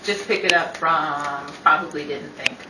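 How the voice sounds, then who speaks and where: A woman's voice: deep voice, fairly even intonation, unhurried. A woman performing in and directing a radio play troupe. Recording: echoey room, distant mic, hissy noise.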